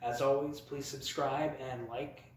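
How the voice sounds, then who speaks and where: A man's voice: medium pitch, flat delivery, slight background noise. Theatrical male storyteller in a very echoey room. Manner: theatrical, mock-grand emphasis with dramatic pauses. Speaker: storyteller, host of a storytime series. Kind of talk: humorous personal storytelling to camera. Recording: very echoey, roomy sound.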